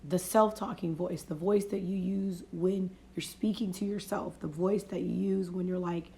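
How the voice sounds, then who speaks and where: A woman's voice: on the low side, steady tone, moderate pace, mellow. A woman life coach with a cold, talking casually and reflectively on a phone livestream, repeating and rephrasing her points. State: has a cold, voice fading. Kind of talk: motivational casual talk. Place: home livestream.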